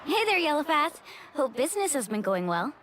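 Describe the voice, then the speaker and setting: A woman's voice: high-pitched, very animated, brisk, noisy background. An actress playing an upbeat, easygoing young woman who takes charge in an anime game, breezy and chatty. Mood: friendly.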